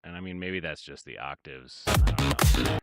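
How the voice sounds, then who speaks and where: A man in his thirties with a very low voice chatting casually on a livestream from his home studio, rambling and hesitant, with many fillers and trailing-off sentences. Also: normal speed, loud music behind the voice. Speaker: livestreaming music producer. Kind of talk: casual livestream chat while working.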